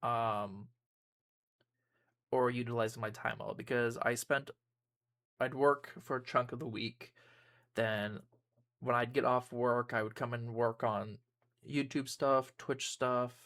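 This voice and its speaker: A man's voice: medium pitch, steady tone, takes it slowly, long pauses, a bit bright. A young man, a YouTuber and streamer, giving a casual personal update to his viewers, rambling with frequent ums and restarts.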